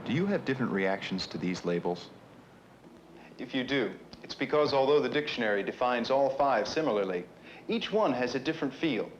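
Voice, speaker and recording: A man presents a scripted lesson in a didactic manner, addressing the viewer directly at a middle pitch. Music plays prominently behind him, as on a 1970s educational film soundtrack. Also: lo-fi sound. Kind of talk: scripted instructional narration.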